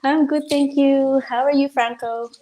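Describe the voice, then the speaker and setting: A woman's voice: sits high, fairly even intonation, unhurried, dark tone, slight background noise. A woman hosting an online livestream interview in an encouraging, admiring manner. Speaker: interview host.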